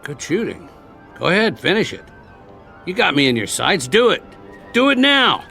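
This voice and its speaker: Weary, sardonic American male war survivor for radio drama; lively pitch, coaxing, plain speech over music. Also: moderate pace, gravelly, heavily acted. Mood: startled, daring.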